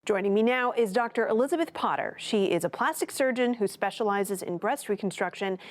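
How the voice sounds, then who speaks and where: A woman correspondent reading a scripted news report in a measured, clear way, then introducing a guest. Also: medium pitch. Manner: measured, clear newsreader delivery.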